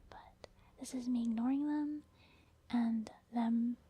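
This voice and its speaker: A woman doing soft, whispered ASMR commentary over gameplay, chatting informally to her viewers. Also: on the high side, fairly even intonation, slow, slightly rough. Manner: informal, conversational, addressing viewers. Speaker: ASMR gaming creator.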